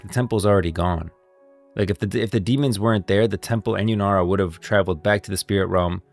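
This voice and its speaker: A man hosting a stream of game lore commentary, moving between casual talk and scripted reading. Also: on the low side. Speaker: streamer, host.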